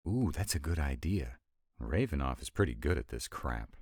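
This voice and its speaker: An extremely low, closely dry-recorded male vampire, acted out by a man for a roleplay audio. He is sarcastic, wry and easily irritated, with a rebellious streak. His delivery is conversational and intimate, swinging animatedly as he makes his drawling asides. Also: expressive, normal speed, darkish tone, husky, dry recording, heavily acted. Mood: musing, frustrated. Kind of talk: intimate one-sided roleplay audio acting.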